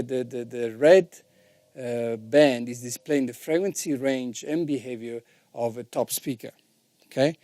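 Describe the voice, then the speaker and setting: A man with a soft-edged, mellow voice teaches in an informal, didactic way, like an instructor walking learners through a subject. He regularly checks for understanding, and the sound is very dry, with little room around the voice. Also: medium pitch, dry recording. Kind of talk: informal explanatory lesson.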